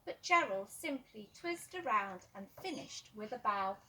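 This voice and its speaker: A woman reading a rhyming children's picture book aloud with measured expression. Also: medium pitch, expressive, sharp tone, distant mic, low-quality recording. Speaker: storyteller at a primary school. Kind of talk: children's storybook read-aloud.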